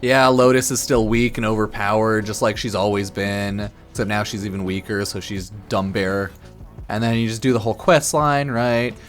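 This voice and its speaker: A slightly drunk man giving casual, sarcastic game commentary on a stream, blunt and quick to swear. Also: lowish voice, steady tone, soft background music. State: a bit drunk.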